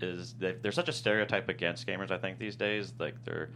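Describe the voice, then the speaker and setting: Low-voiced male writer, halting delivery. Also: fairly even intonation, fairly bright tone, a little hiss or hum. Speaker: writer, guest. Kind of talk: casual interview explanation. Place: studio.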